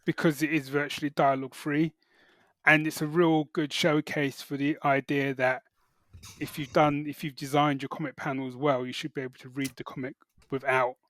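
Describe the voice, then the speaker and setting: A middle-aged British man chatting casually as a podcast co-host, halting and correcting himself as he goes. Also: a little high, little pitch movement, fairly bright tone, no echo. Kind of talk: casual chat, geek reviews.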